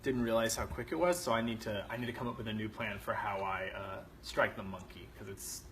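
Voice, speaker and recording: A man's voice: lowish voice, a little fast, fairly bright tone, a little hiss or hum. A man working as a puppeteer, chatting casually during a rehearsal.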